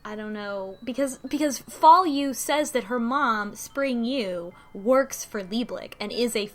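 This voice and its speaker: A woman's voice: on the high side, unhurried, soft background music. A woman in a casual group chat, speculating and repeating words as she reasons things through aloud. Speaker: podcast panelist discussing a visual novel.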